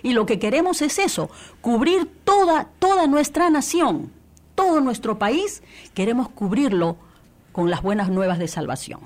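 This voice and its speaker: A woman's voice: on the high side, very animated, measured pace, darkish tone. A woman from Peru, a church radio coordinator, giving an earnest interview testimony with many restarts and fillers.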